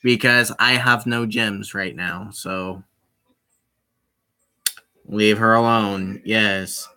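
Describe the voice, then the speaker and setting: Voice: mid-range voice, steady tone, very slow, pushed and bright. A streamer chatting casually with viewers while playing a visual novel, rambling with many restarts and apologies.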